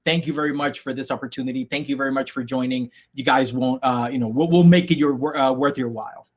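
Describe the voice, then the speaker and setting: A man's voice: mid-range voice, fairly even intonation, quick pace. A man in his thirties from the Dominican Republic, a native Spanish speaker, teaching a live online coding workshop over a video call, upbeat, with many fillers and restarts. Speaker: workshop instructor.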